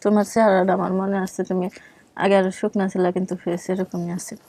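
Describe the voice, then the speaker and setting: Even-toned Bangladeshi woman, close dry sound. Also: medium pitch, fairly quick, dry recording. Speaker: guest reunited with family. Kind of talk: casual questioning and recollection.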